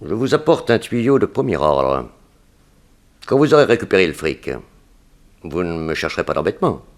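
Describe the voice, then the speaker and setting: Crafty gangster, very deep, animated intonation, deliberate, insinuating, 1960s radio thriller. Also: male voice. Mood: insinuating, firm. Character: scheming, vengeful gangster posing as an informant. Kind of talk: 1960s French radio crime drama acting.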